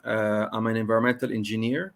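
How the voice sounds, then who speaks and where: A man from Lebanon giving a business pitch presentation on an online call as a startup co-founder. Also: level tone, fast talker, gravelly.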